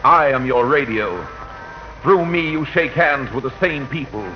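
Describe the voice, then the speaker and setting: An actor playing Radio itself, a proud, rousing figure declaiming grandly to the nation in a 1940s radio pageant. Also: male voice, medium pitch, expressive, unhurried, close to an everyday voice. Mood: stirring, proud. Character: radio itself personified, proud rousing male voice addressing America. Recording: dull 1940 radio recording with music.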